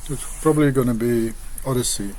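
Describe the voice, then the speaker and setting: A man with an Afrikaans accent, a native Afrikaans speaker, reading scripture aloud and explaining it in a hesitant, halting way with frequent pauses. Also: medium pitch, darkish tone, slight background noise, poor audio. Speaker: online Bible commentator.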